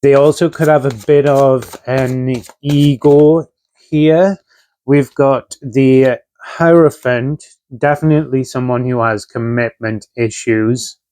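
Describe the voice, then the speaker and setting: A man's voice: mid-range voice, fairly even intonation, slow, darkish tone, no echo. A man giving a casual tarot reading, talking conversationally with frequent fillers while getting over a chest infection. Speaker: tarot reader.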